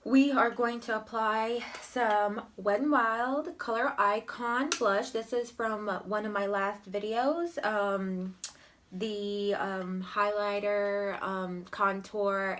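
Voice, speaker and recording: A young woman talking through her makeup routine in a slow, rambling way. She is sniffly from a cold. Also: mid-range voice, steady tone, a bit bright. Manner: slow, rambling, filler-heavy commentary while applying makeup. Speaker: beauty vlogger. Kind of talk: makeup tutorial chat.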